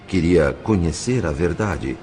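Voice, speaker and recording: Deep-voiced Brazilian male narrator, expressive and solemn. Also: a little slow, noisy background. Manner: solemn, literary phrasing. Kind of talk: dramatic scripted audiobook narration.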